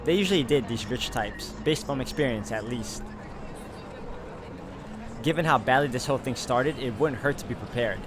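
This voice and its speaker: A man's voice: mid-range voice, background noise. A man reading a visual novel aloud and thinking through its choices, with hesitations and asides. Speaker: game streamer reading the story aloud. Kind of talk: narrating and commenting on a visual novel. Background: loud game music.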